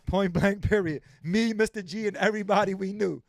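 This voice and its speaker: A man with a notably high voice hosts a casual livestream interview. He is emphatic and drives points home by repeating phrases. The sound is dry, with no room echo. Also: a little fast, vocal fry. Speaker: livestream host.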